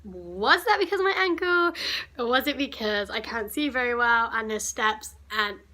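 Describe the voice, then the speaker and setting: A teenage girl from the UK, slightly croaky from a cough, telling a rambling, indignant storytime vlog. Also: fairly high pitch, expressive, normal speed, bright, forceful tone. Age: teens. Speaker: vlogger.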